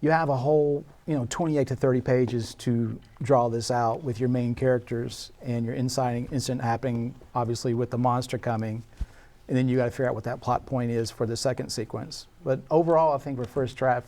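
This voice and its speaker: A man giving measured spoken feedback as a panelist discussing a script. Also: medium pitch, fairly even intonation, mellow.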